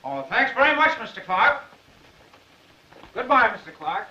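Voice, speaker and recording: Glib 1930s reporter, American male: bright, lively, high-ish, eager bluffer, dull vintage film sound. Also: a little slow, full character voice. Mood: falsely cheery. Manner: glib, chuckling, eager patter. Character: fast-talking, bluffing, cheerful young newspaper reporter. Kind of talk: 1930s film comedy-drama acting. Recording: muffled, band-limited 1930s film soundtrack.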